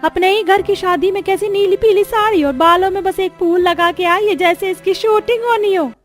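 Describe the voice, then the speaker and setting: A woman's voice: very high voice, normal speed, soft background music. A woman voicing a spiteful, mocking neighbourhood gossip in a cartoon. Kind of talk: Hindi cartoon voice acting.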